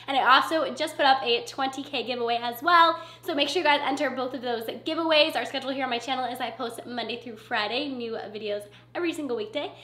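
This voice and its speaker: A woman in her twenties, hoarse and congested from a cold, chatting excitedly as she unboxes beauty products. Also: high-pitched, fast, few pauses, echoey room. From Michigan. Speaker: beauty YouTuber. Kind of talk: casual unboxing vlog.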